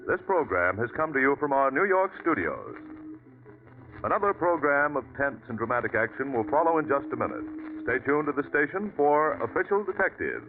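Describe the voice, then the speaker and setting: Vintage male radio announcer with lively intonation. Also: medium pitch. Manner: formal, measured announcer delivery. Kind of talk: scripted announcing. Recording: hissy, tinny old radio broadcast.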